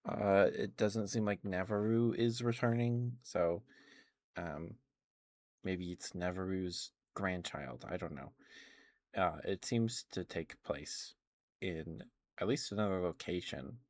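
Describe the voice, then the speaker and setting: A man doing casual ASMR livestream chat into a close microphone, musing in a hesitant, unhurried way. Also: sits low, a little mellow, dry recording.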